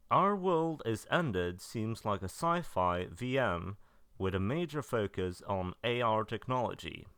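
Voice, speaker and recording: A man's voice: sits low, fairly bright tone, clean tone, dry recording, clean studio sound. A man narrating an informal scripted review, matter-of-fact with casual asides. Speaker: video narrator reviewing releases.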